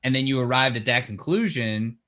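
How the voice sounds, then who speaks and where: A man hosts a casual podcast conversation in an average, mid-range voice. He reasons out loud in a measured, reflective way, laying out his ideas step by step. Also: mid-range voice. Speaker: podcast host.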